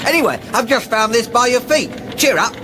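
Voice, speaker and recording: A craven, hungry dwarf sidekick in a radio fantasy comedy. The extremely high voice bounces about cheekily and chattily, with noisy ambience behind. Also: male voice, sing-song, brisk. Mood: flippant. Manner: chatty, flippant. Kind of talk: comic fantasy radio drama.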